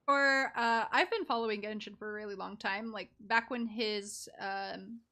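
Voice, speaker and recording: A woman's voice: mid-range voice, normal speed, sharp tone. A young woman giving casual, rambling live game commentary with giggly asides as a streamer.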